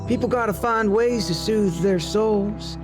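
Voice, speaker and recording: A young cowboy gunslinger drawls in a Southern US accent, taking his time in a fairly high voice. He is folksy, cocky and taunting, a game hero out for revenge. Game music plays clearly underneath. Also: a little slow. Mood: wistful, folksy. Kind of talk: video game voice acting.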